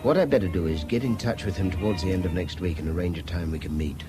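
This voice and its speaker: A man's voice: deep voice, fast, music in the background, lightly acted. An actor playing an educated middle-class Englishman, wry and harried, articulate, in a radio drama. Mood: tense, weary. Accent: British English. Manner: articulate, theatrical turns of phrase. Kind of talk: naturalistic radio drama acting. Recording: noisy radio drama with background ambience.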